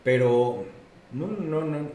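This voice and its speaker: A man's voice: a little high, lively intonation, slow pace, dark tone. A man from Guadalajara with a Mexican accent, co-hosting a podcast in casual talk, joking and stumbling over repeated words. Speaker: podcast co-host. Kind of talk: casual conversation. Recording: echoey room, distant mic, faint noise.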